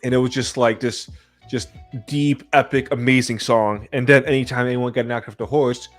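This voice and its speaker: A man's voice: mid-range voice, steady tone, moderate pace. Casual male podcast host over faint music.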